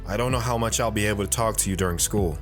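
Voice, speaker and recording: A man's voice: lowish voice, fast, faint music behind, close to an everyday voice. An actor playing an earnest, sombre retired military man, reflectively telling his life story in an audio drama. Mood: tender, reflective. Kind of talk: audio drama narration and dialogue.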